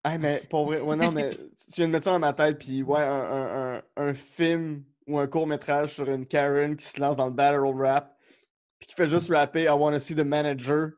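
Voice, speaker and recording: A man with a Québécois accent hosts a casual podcast conversation. His voice sits a little high for a man, and he speaks informally and agreeably as he interviews. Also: steady tone, normal speed, a little mellow. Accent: Québécois French. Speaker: podcast host.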